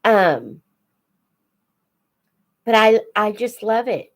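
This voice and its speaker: An older woman chatting casually as a live host for young viewers, in an unhurried, reflective, folksy manner. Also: medium pitch, very animated. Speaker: host of a children's book read-aloud channel.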